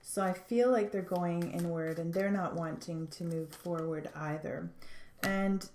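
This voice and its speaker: A woman giving a tarot reading, sounding stuffed up, speaking thoughtfully with frequent pauses and restarts. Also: lowish voice, darkish tone, roomy sound. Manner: thoughtful, hesitant, many fillers and restarts. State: stuffed up, congested. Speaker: tarot reader.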